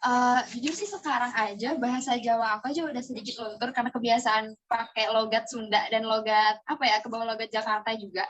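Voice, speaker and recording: A woman's voice: fairly high pitch, fairly even intonation, fast, few pauses, a bit bright. A young woman from East Java answering interview questions in a chatty way, with many hesitations and fillers. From East Java, Indonesia.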